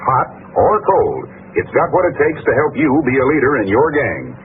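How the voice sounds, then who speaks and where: A man playing a commanding, enthusiastic adventure hero pitching to children in an old-time radio advertisement, emphatic and punchy. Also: wildly swooping pitch, normal speed. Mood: hearty, urgent. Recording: tinny, hissy old radio recording.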